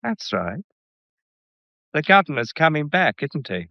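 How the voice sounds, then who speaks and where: An actor playing an insolent, scheming English blackmailer in a mystery radio drama, talking with smug, deliberate menace. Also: male voice, a little high, very animated, no echo. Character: insolent, scheming male gallery employee turned blackmailer. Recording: dull, muffled radio drama recording.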